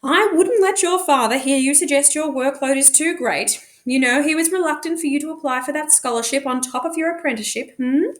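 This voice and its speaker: A woman voicing a brisk, stubborn, motherly Victorian housekeeper in a storybook, chiding and emphatic. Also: high-pitched, pitched above the performer's own voice, natural voice.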